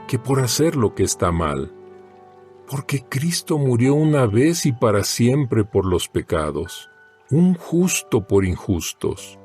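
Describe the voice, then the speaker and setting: A man reads scripture in a solemn, deliberate way, taking his time over each phrase. His pitch moves widely, giving the dramatized narration an animated contour. Faint music plays behind him. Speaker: Bible audio narrator. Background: background music.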